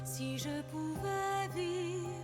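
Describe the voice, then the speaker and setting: A woman singing as a young sea spirit in a game's stage musical, slow and lilting. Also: very high voice, full character voice. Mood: longing. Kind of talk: sung musical theatre. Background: loud orchestral music.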